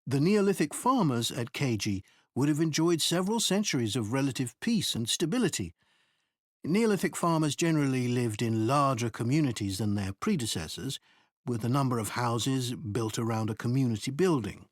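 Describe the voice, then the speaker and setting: A man giving a scripted academic presentation in a measured, explanatory way. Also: mid-range voice, expressive, no room sound, studio quality. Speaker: presenter in a recorded exam listening test.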